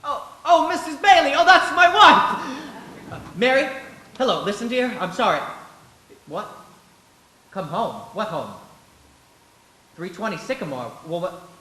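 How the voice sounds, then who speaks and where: A man playing an earnest, excitable young banker with a stammering, folksy manner in a live radio play. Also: high voice, unhurried, pauses often, heavily acted. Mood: excited. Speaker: stage actor. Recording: echoey stage with faint noise.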